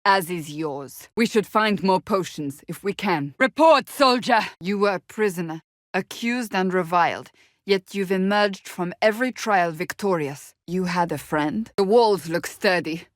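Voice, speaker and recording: A woman's voice: fairly low pitch, a little slow, no room sound, natural voice. A woman as a stern, proud warrior woman, dry recording. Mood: urgent, commanding. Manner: formal, clipped, earnest delivery. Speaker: video game character voice. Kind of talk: dramatic fantasy game dialogue.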